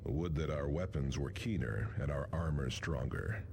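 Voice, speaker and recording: A man's voice: normal speed, creaky, a little hiss or hum. An actor playing a stern, noble veteran space marine sergeant in a sci-fi game, a man speaking with solemn, formal command. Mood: solemn resolve. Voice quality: very deep. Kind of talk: dramatic sci-fi game acting.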